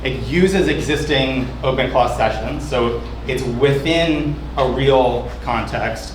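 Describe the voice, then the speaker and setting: A man's voice: mid-range voice, slow pace. A man giving a loose, chatty presentation of his app, with lots of fillers and restarts. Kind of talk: casual demo talk. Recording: echoey room with background noise.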